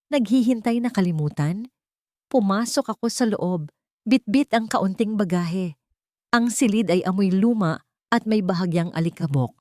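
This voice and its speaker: A woman narrating a dramatic first-person story in an emotive, sorrowful, measured way. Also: mid-range voice, dark tone, no room sound, studio quality.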